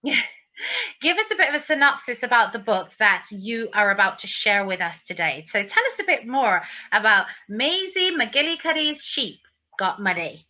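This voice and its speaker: A woman's voice: on the high side, big pitch swings, normal speed, pushed and bright. A woman hosting an author interview in an effusive, complimentary, conversational way. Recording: slightly dull, lo-fi online call audio.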